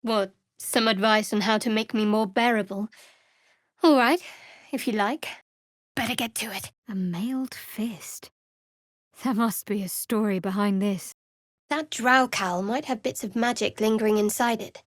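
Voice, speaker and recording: A woman playing a guarded, sardonic young cleric of a dark goddess in a fantasy video game, speaking in a dry, measured, wry way. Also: medium pitch, dry recording, natural voice. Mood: wry, composed.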